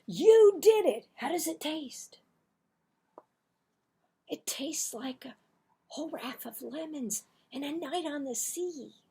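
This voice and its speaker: A woman's voice: high voice, expressive, takes it slowly, long pauses, mellow, voice pushed up, exaggerated voice. A woman voicing an eager, mischievous little boy from a children's storybook, wide-eyed and sneaky. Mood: excited, accusing.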